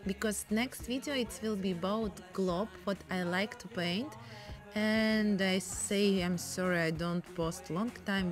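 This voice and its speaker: A woman with a Russian accent chatting in broken English while demonstrating a painting technique. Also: mid-range voice. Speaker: painting tutorial host.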